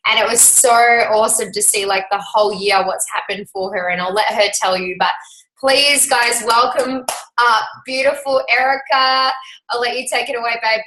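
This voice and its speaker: A woman's voice: fairly high pitch, fairly even intonation, pushed and bright, slight background noise. A woman hosting a group video call, gushing and upbeat as she cheers people on and introduces a guest. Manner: bubbly, gushing, fast asides. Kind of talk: casual motivational chat. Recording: echoey room.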